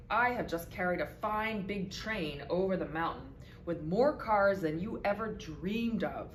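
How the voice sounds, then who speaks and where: A woman's voice: fairly low pitch, a bit bright. A woman voicing a proud, snooty male passenger engine in a storybook, haughty and dismissive. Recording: roomy echo with background noise.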